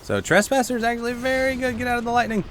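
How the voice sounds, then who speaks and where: A man giving casual gameplay commentary while playing a shooter, with a congested throat he keeps clearing, chatting to viewers and reacting aloud. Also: extremely high pitch, rapid-fire, fairly bright tone, faint music behind. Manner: chatty, reacts aloud to the game, answers chat. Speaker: gaming YouTuber.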